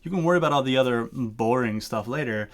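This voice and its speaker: A man co-hosting a game dev livestream, talking excitedly and playfully into a headset mic from a room at home. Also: mid-range voice, fast talker. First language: English. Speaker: game dev teacher, co-host. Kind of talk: casual livestream chat.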